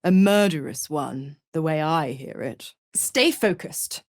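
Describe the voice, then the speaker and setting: A woman's voice: deep voice, slow, no echo, studio quality. A woman voicing a sly, seductive devil in a video game, mocking and condescending, with a teasing theatrical drawl. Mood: coolly mocking. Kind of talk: video game character acting.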